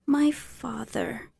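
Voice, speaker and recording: A woman's voice: sits high, gravelly, warm tone, husky, dry recording, heavily acted. A woman playing a troubled teenage girl in a staged drama, sullen, hesitant and defensive. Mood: frightened, anguished.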